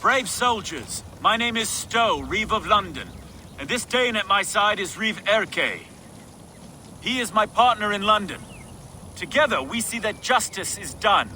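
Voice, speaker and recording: An actor playing an earnest Saxon town official with an oratorical manner in a video game. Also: male voice, high voice, animated, a little slow, pushed and bright, slight background noise, exaggerated voice. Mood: impassioned appeal. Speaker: town reeve. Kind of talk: dramatic video game acting.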